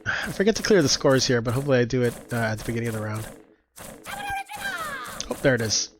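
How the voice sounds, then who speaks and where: A man's voice: medium pitch, expressive, fast, noisy background. A congested man casually commentating his own game matches, explaining his plans with lots of fillers. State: congested, slightly stuffy.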